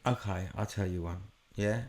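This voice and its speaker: A man's voice: sits low, normal speed, a little mellow. A man telling candid stories in a podcast chat, blunt and emphatic, swearing freely. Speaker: podcast guest. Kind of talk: candid conversational storytelling.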